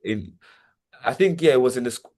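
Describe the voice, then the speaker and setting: A man's voice: mid-range voice, lively intonation, a little fast, darkish tone. A young man, telling his life story in an interview, hesitant and full of fillers and repeated words. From Nigeria. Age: 20s. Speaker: interview guest. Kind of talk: reflective personal testimony.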